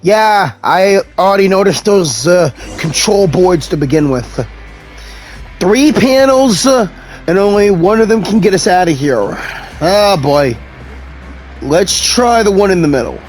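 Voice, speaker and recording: Male gaming host: high register, very varied intonation, casual playful reactions, light music behind. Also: lively intonation, a little slow, rough recording. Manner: playful, reacts aloud to the story. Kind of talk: casual gameplay commentary. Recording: faint game music behind.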